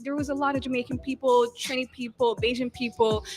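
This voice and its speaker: A woman who grew up in East Africa and whose first language is not English, telling her story in an animated way and repeating points for emphasis in a casual podcast interview. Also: high-pitched, a little fast. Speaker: community worker guest.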